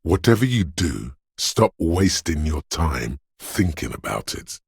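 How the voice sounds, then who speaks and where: Very deep, gravelly, menacing inner voice. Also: male voice, sing-song, no room sound, clean studio sound, full character voice. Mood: ominous. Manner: slow, deliberate, ominous urging. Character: menacing inner voice of fight-or-flight instinct, paranoid and aggressive. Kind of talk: video game character voice lines, narrating and urging.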